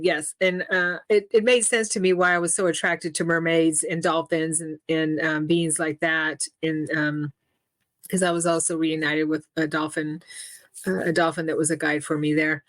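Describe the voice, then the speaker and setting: A middle-aged woman with a low voice talks in a halting, searching way. She stops, restarts and fills pauses with ums. The sound is dry and close. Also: no room sound. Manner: halting, many restarts and fillers, laughs often. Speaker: interview guest. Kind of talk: casual spoken interview.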